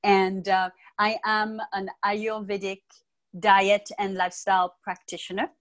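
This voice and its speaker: A middle-aged woman from the United States, raised in Martinique, answering interview questions in a calm, measured way from home over earphones. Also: mid-range voice. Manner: calm, thoughtful, measured explaining. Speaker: guest, Ayurvedic practitioner. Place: home room with bookshelves. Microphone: earphone mic on video call.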